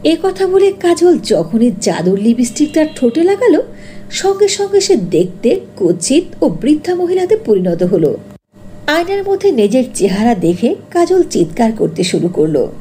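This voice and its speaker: A woman narrates a cartoon fairy tale in a fairly high, very animated voice. Her tone is mellow, and there is faint noise behind her. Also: normal speed.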